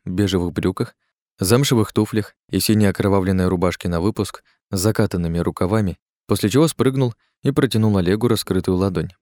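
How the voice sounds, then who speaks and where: Low-voiced male audiobook narrator. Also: darkish tone, no echo, studio quality. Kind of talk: scripted narration.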